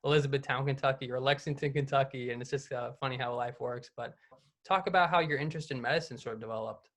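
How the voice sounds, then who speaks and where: A man's voice: medium pitch, fast, fairly bright tone. A young man in his twenties hosting an interview podcast, talking in a friendly, conversational way with a few fillers. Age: 20s. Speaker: podcast host. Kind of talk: casual interview.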